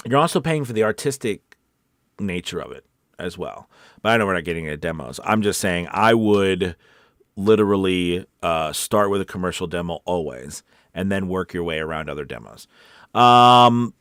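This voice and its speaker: Low-voiced man chatting on a livestream. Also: dry recording, clean studio sound. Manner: encouraging, conversational, rambling. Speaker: voice-over coach hosting a livestream. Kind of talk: casual livestream Q&A chat.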